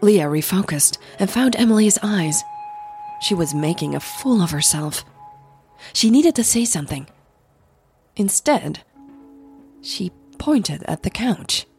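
A woman podcast host telling a story in a slow, suspenseful, intimate manner. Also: medium pitch, expressive, creaky, darkish tone, faint music behind. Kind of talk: dramatic audio story narration and podcast chat.

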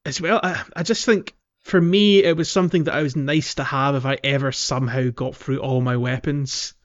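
A man's voice: mid-range voice, fairly even intonation, normal speed, muffled recording. A man co-hosting a casual gaming podcast, explaining things in a hesitant way and checking for agreement. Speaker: podcast co-host.

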